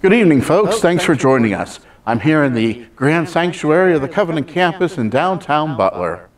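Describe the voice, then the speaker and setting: A man's voice: on the high side, very animated, normal speed, a crowd in the background. A man hosting a church Christmas program, introducing it in a folksy, theatrical announcer's style.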